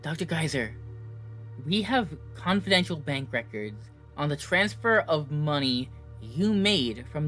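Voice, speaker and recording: A man playing a young superhero with a fairly high male voice. He is halting and hesitant yet determined, in an audio drama with light music behind him. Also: a bit bright.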